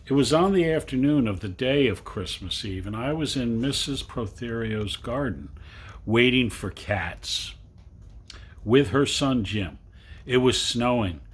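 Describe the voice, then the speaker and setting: A man reading a literary story aloud to children, with dramatic, deliberate phrasing and long pauses. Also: medium pitch, lively intonation, breathy edge. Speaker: reader. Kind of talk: expressive storybook narration for children.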